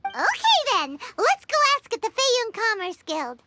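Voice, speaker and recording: A woman voicing a tiny, chatty, childlike fairy companion in a video game, excitable and full of exclamations. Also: extremely high pitch, a little slow, soft background music, heavily acted. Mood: curious, chirpy. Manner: exclaims, speaks of herself in third person. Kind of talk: animated video game character acting.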